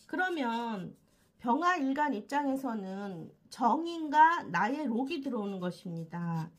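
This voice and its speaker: A woman with a slightly hoarse throat from a cold explains a monthly fortune reading as a host, haltingly, with frequent filled pauses. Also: fairly high pitch, very animated. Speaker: fortune-telling channel host. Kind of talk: explanatory monthly horoscope talk.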